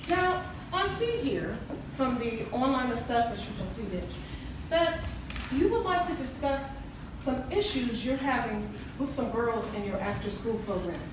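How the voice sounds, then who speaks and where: A woman acting a polite, professional counselor in a stage play, formal and measured. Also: a little high, expressive. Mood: courteous. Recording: echoey hall from far off, clear noise.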